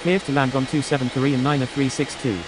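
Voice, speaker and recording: A woman's voice: extremely low pitch, steady tone, fast talker, noisy background. A woman voicing a businesslike airline pilot in a flight game, making scripted radio calls.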